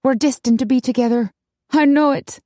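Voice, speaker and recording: An excitable, dreamy young woman, acted by a female narrator in a storybook tale. Her voice is lifted high and swings widely as she exclaims in wide-eyed wonder, close and dry on the recording. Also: normal speed, no room sound.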